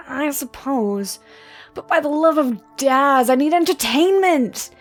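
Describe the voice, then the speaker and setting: A woman's voice: high-pitched, expressive, takes it slowly, soft background music. A woman voicing a bored young muse who loves chaos, whiny and sarcastic, in an audio drama. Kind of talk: ASMR audio drama roleplay.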